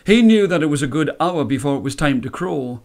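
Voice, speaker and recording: A man reading a story aloud as a storyteller, with measured, dramatic phrasing. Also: a little high, animated, a little mellow. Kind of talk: expressive scripted storytelling.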